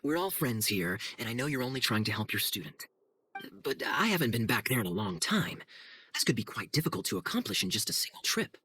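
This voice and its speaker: A man's voice: mid-range voice, sing-song, fairly quick, sharp tone, slightly rough, exaggerated voice. A man playing a suave, wry, sociable cavalry captain in a video game, speaking casually. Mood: reluctant, wry. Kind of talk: video game character acting.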